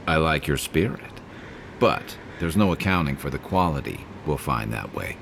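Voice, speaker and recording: A man voicing a gruff, wry, streetwise adventuring companion in a video game. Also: very deep voice, expressive, normal speed, a bit bright, a little hiss or hum, exaggerated voice. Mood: wry, practical.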